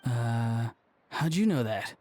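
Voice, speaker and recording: A man's voice: mid-range voice, expressive, slow pace, darkish tone, soft background music. An actor playing a laid-back young man in a video game, casual, understated and a little awkward. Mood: awkward, candid. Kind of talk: voice-acted game dialogue.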